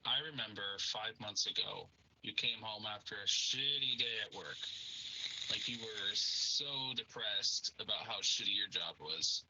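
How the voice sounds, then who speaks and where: A man's voice: fairly low pitch, measured pace, pushed and bright, lo-fi sound, a little hiss or hum. A man co-hosting a casual podcast, telling a story in a friendly way and leading up to a question. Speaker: podcast co-host.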